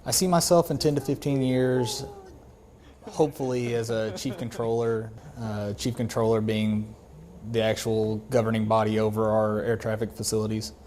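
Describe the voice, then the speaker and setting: A man who works as an air traffic controller, answering interview questions about his job in an earnest, measured way. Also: fairly low pitch, little pitch movement, darkish tone. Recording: faint background noise.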